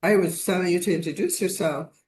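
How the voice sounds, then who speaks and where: A woman's voice: fairly low pitch, a little fast, a little mellow. A woman hosting an online meeting, calling on each participant in turn and stumbling over a few names. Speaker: host. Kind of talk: online meeting roll call. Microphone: video call.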